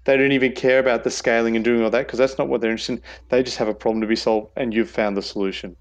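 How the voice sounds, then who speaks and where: A man's voice: mid-range voice, level tone, racing. A man being interviewed on a podcast, talking enthusiastically and restarting words as he goes. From Australia. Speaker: guest, innovation expert. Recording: dull lo-fi sound.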